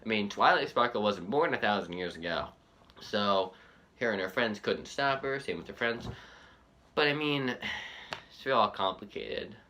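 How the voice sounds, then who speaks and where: A man giving casual, unscripted fan commentary as a reaction video host, halting and repeating himself as he goes. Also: lowish voice, lively intonation, bright, forceful tone, slight background noise.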